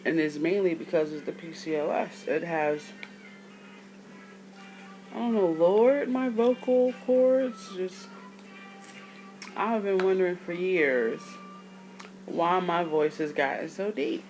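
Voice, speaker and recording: A woman in her thirties talks to her camera with a deep voice, still sounding freshly woken. She rambles casually and drifts into side notes, and faint music plays in the background. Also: takes it slowly, long pauses, a little mellow, low-quality recording. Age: 30s. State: just woke up. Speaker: vlogger.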